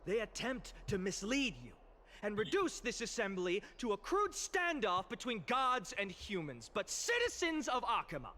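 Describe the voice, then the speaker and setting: Young game orator, high-pitched, sweeping intonation, impassioned, eloquent, emphatic, faint ambient noise. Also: male voice, big pitch swings, moderate pace, sharp tone, heavily acted. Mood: fervent, pleading. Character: impassioned young male orator addressing a citizens' assembly. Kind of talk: dramatic theatrical game voice acting, public oration.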